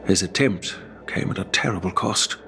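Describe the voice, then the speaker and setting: A man playing a dignified, caring elderly grandfather in a video game, speaking in a measured, solemn, formal way. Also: sits low, vocal fry, bright, forceful tone, exaggerated voice. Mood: solemn, regretful. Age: older. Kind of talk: scripted game dialogue. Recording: background music under the voice.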